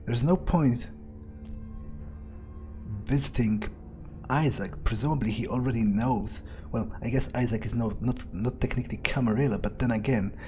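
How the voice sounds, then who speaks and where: A man playing a game in character, thinking aloud in a halting, musing way with casual swearing. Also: mid-range voice, mellow. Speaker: let's player speaking in character. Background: game music.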